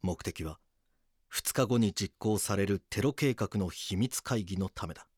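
An actor playing a stern but caring police detective who narrates an audio drama in a serious, measured way. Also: male voice, on the low side, darkish tone, slightly rough, dry recording, clean studio sound. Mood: grave. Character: stern male police detective narrating, gruffly tender husband. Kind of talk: scripted documentary-drama narration and acting.

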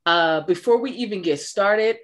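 A woman's voice: mid-range voice, moderate pace. A woman hosting a podcast, chatting casually and cheering on her guest with upbeat affirmations. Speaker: podcast host. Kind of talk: casual interview chat.

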